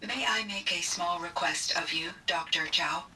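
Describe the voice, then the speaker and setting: A woman playing an earnest artificial intelligence in a video game, speaking politely and with measured formality. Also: on the low side, pushed and bright, recorded from a distance, a little hiss or hum. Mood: calm, dutiful. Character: female AI, earnest, afraid, formal and dutiful.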